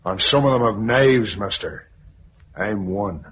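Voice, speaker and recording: An actor playing a gruff, suspicious, threatening tough in a 1950s British radio drama. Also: male voice, lowish voice, unhurried, fairly bright tone. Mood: surly, menacing. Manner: surly, threatening. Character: gruff, menacing, suspicious blind tough with a knife. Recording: muffled, hissy 1950s radio recording.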